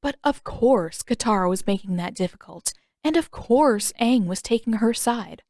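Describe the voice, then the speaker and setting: A woman's voice: fairly high pitch, animated, moderate pace, a little mellow, no echo. Woman narrating fiction, very dry sound. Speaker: audiobook narrator. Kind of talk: scripted story narration.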